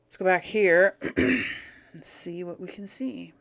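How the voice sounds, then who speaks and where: Slow-paced woman casually narrating her gameplay. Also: on the low side, gravelly, dry recording. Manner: rambling, thinking aloud, chuckling. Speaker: let's play host. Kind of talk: casual unscripted game commentary, talking to viewers.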